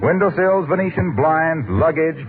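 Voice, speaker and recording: A man's voice: fairly high pitch, moderate pace. An American man announcing in a polished, salesmanlike 1940s radio style, reading scripted copy. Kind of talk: 1940s radio ad read and sign-off. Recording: tinny 1940s radio recording. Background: music.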